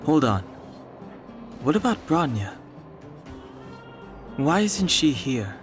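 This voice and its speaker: A man's voice: on the high side, very animated, takes it slowly, soft background music. An actor voicing a cool, serious and terse young man in a video game. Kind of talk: anime-style game voice acting.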